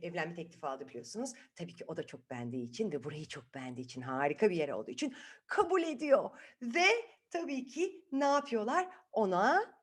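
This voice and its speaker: Bir kadın, çocuklara sorular sorarak, sözleri tekrarlayarak dramatik bir dille doğaçlama masal anlatıyor. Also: female voice, mid-range voice. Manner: dramatic, repeats words, asks listeners questions. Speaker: children's storyteller. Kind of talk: improvised, animated storytelling to children.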